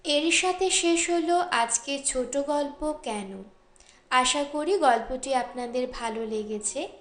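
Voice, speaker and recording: A woman narrating a literary short story as an audiobook, measured and expressive. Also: high-pitched. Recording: roomy echo.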